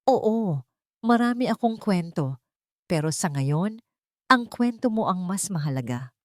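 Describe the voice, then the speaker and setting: A protective, secretive man, voiced by a woman narrator, speaks with calm, serious reassurance. The audiobook dialogue is earnest and sounds dry and close. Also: lowish voice, measured pace, no echo. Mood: wistful.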